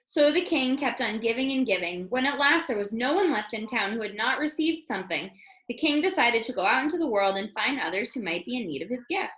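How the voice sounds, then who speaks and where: A woman reading a children's story aloud as an expressive narrator. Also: a little high, a little fast. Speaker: storybook reader. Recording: roomy, echoing room sound.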